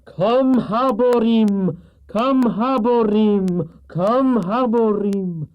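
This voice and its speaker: A man's voice: high voice, lo-fi sound, pitched above the performer's own voice. A man voicing a commanding evil witch in a children's storybook, with a harsh, droning, imperious delivery. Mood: fierce chanting. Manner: slow, imperious incantation. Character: commanding evil witch woman chanting dark spells.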